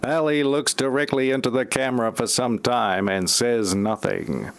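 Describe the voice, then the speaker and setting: A man's voice: medium pitch, normal speed, creaky, clear, resonant tone. A man narrating a parody audio play from a script, with wry asides.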